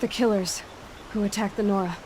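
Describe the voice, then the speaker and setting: A woman's voice: medium pitch, fairly even intonation, brisk, darkish tone, natural voice. A guarded young huntress, acted by a woman in a video game scene. She has a mid-range voice and a blunt, questioning manner, over faint ambient noise. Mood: serious. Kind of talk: video game cutscene acting.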